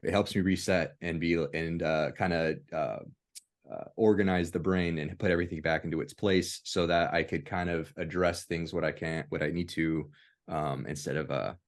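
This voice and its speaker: A man hosting a casual discussion, speaking in hesitant, halting phrases with many pauses. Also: deep voice, fairly even intonation. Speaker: discussion host.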